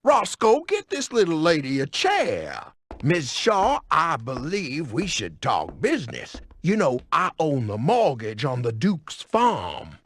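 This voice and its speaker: Blustering Southern boss, wildly swooping pitch. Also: male voice, wildly swooping pitch, slow pace, a bit bright, no echo, heavily acted. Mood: businesslike scheming. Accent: American South. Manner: pompous, wheedling. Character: blustering, scheming old county boss, greedy and sly.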